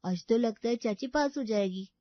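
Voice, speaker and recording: A woman's voice: on the high side, normal speed, no echo, lo-fi sound, voice pushed up, close to an everyday voice. A woman voicing a cheeky, teasing little girl in a story, with a sing-song manner. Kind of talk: animated story dialogue.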